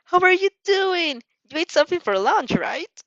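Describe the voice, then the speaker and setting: Woman as bubbly, high-voiced teenage schoolgirl. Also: very animated, moderate pace, fairly bright tone, no echo, highs cut off, heavily acted. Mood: cheerful. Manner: bubbly, mock-innocent. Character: cheery, playful, teasing teenage schoolgirl heroine.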